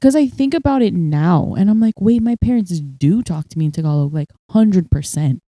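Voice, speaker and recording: A young woman co-hosting a casual chat podcast, telling stories in an animated way and acting out what people said. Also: fairly low pitch, moderate pace, no echo. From United States. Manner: storytelling, animated, acts out quotes. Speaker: podcast co-host.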